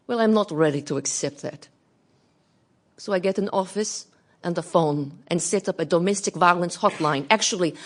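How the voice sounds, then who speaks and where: An actress playing an earnest, determined Russian researcher turned activist in a dramatic radio theatre monologue. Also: female voice, mid-range voice. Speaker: actress in an audio play.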